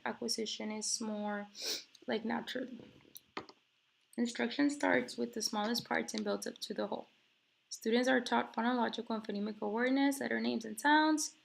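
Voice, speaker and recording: A woman's voice: fairly high pitch, slight background noise. A woman presenting a textbook chapter, reading from slides and explaining them casually with many fillers, while recovering from an illness and coughing now and then. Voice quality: gravelly fry. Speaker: student presenter.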